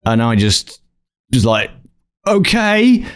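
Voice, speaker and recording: A man with a lively, swooping voice, hosting a casual podcast and telling an anecdote. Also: medium pitch, slow, smooth. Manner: storytelling, puts on a mock Scottish voice. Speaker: podcast host. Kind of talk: casual banter.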